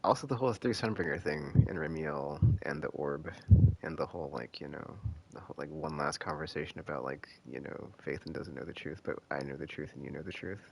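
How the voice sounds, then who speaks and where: Very deep, creaky-voiced rambling male streamer. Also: low-quality recording. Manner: rambling, halting, thinking aloud with trailing sentences. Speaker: game streamer. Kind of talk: casual live stream commentary.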